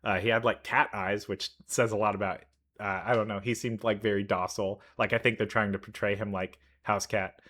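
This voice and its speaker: A man's voice: on the low side, level tone, a little fast, fairly bright tone. A man giving casual game reaction commentary, musing and thinking aloud as he goes. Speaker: reaction video host.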